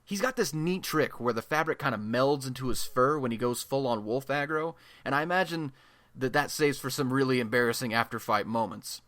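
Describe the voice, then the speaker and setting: Man playing young male amateur radio host, American accent, radio play, bright crisp-edged tone, casual wisecracks, self-deprecating, medium pitch, very dry sound. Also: a little fast, dry recording, natural voice. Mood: chatty. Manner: informal, wisecracking, conversational. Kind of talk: casual podcast-style monologue in a radio play.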